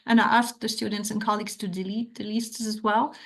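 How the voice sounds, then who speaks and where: A woman's voice: mid-range voice, level tone, a little fast, a bit bright. A middle-aged woman from France with a French accent, giving an academic talk and answering questions, earnest and full of restarts. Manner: earnest, hesitant with frequent restarts. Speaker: academic author.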